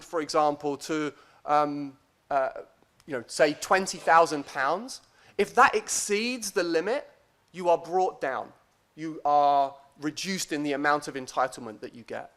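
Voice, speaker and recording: A man giving a conference lecture as a guest academic, speaking in a deliberate, hesitant, self-deprecating way. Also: a little high, lively intonation, a bit bright.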